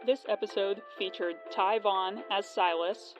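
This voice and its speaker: A woman's voice: medium pitch, fairly even intonation, measured pace. A woman podcast host reading scripted promotional narration and credits. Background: music.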